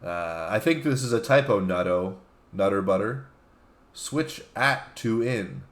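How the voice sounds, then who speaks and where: A man co-hosting a casual comedy talk show, phrasing things in a halting, deliberate way. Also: fairly low pitch, animated. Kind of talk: casual comedic chat, reading out tweets.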